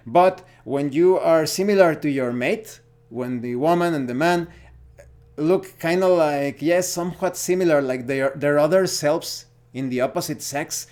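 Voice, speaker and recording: Halting man, slightly high voice. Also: a little mellow. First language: Spanish. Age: 40s. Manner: halting, searching for words. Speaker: host of a tabletop gaming channel. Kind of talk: unscripted opinion monologue to viewers.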